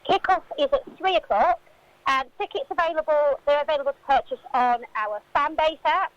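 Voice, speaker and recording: A woman's voice: sits high, a little fast, dry recording, muffled recording. A woman speaking as a football club spokesperson, giving answers in a radio interview.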